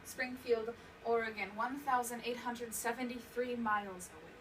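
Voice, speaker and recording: A woman acting a haunted young survivor of abuse in a dramatic stage monologue, halting and emotional, repeating words for emphasis. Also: fairly high pitch, close to an everyday voice. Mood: subdued, reflective. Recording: distant mic, clearly noisy room recording.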